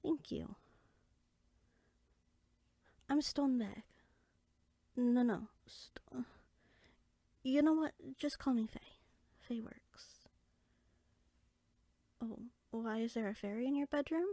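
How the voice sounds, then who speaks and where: A woman playing an inquisitive, friendly young fairy sorcerer in a conversational roleplay audio drama, chatty and eager with soft asides. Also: on the high side, slow, pauses often, no echo.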